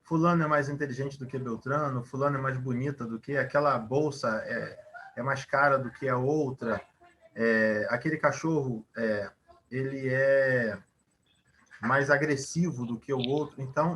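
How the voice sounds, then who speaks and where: A man's voice: medium pitch, moderate pace, fairly bright tone, slight background noise. A man teaching a Portuguese grammar lesson, explaining at length, asking rhetorical questions and using many fillers.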